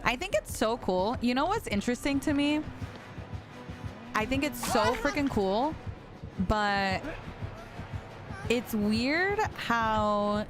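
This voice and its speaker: A woman's voice: a little high, expressive, slow pace, long pauses. A woman in her late twenties chatting casually with her viewers while she plays a game, reading their messages aloud and answering them. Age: 20s. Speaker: streamer. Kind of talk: casual live-stream chat while gaming. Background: background music.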